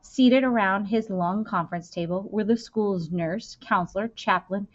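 A woman in her thirties reading a children's novel aloud expressively, with deliberate phrasing and dramatic stresses. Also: medium pitch. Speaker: school librarian reading aloud. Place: indoor room. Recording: dull, lo-fi webcam-style sound.

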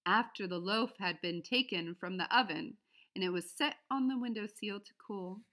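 A woman's voice: mid-range voice, moderate pace, a bit bright. A woman reading a children's story aloud as a narrator. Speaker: storybook reader.

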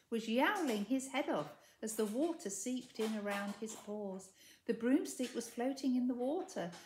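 A woman's voice: fairly high pitch, darkish tone, slight background noise. A woman in her sixties reading a children's story expressively, recorded indoors at a short distance from the camera. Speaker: storybook reader. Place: indoors, living room by a window. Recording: echoey room.

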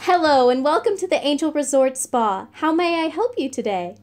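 Woman acting high, chirpy spa receptionist. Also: animated, normal speed, darkish tone. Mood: welcoming.

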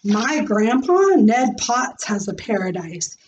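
A woman's voice: on the high side, sing-song, slow, distant mic, dull audio, slight background noise. A woman voicing an eager young schoolboy in a storybook, chattering and blabbing. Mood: matter-of-fact. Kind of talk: acted children's storybook reading.

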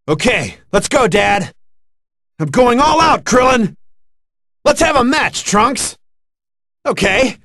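An actor playing an earnest young martial-arts hero in an anime fighting game, with an intense, emphatic delivery. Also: male voice, high voice, husky, no room sound, studio quality, heavily acted. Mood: friendly, eager.

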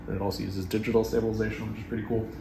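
A man in his thirties with a lowish voice talks through his video gear in a relaxed, jokey way, poking fun at himself. The room rings with echo and a clear background hiss sits under the voice. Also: rapid-fire. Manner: jokey, self-mocking, laughs often. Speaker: vlog host. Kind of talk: casual gear overview. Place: wood-paneled living room indoors. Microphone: clip-on lapel mic.

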